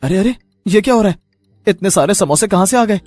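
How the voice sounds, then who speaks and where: An earnest young village lad acted by a man in a cartoon tale, dutiful and eager. His voice is high for a male, with faint music behind it. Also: animated, a little fast, a little mellow, dry recording. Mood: amazed. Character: hardworking, dutiful young samosa-maker son, eager and polite. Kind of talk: cartoon story dialogue.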